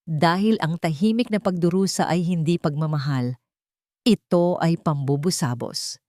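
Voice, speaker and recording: A woman voicing a resolute, dignified woman survivor in a radio-style story, firm and clear. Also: fairly low pitch, measured pace, mellow, no room sound, clean studio sound, lightly acted. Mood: confident, resolute.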